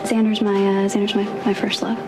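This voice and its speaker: Actress as hesitant young woman, loud music behind. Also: mid-range voice, flat delivery, lightly acted. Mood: awkward, wistful. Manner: hesitant, halting. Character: earnest, anxious young woman, caring and conflicted. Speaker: actor in a TV serial drama. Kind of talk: soap opera dialogue.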